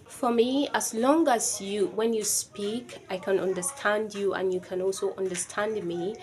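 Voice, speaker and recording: A woman's voice: medium pitch, fairly even intonation, unhurried, noisy background. A woman from Nigeria with a Nigerian accent whose first language is Yoruba. She talks to camera in an earnest, reflective way. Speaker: vlogger. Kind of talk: personal video talk to camera.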